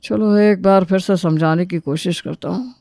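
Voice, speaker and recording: A man's voice: high-pitched, steady tone, normal speed, gravelly, warm tone, dry recording, studio quality. A man playing an elderly, reasonable but exasperated father-in-law who admonishes and pleads for peace in a family story. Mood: weary, gentle.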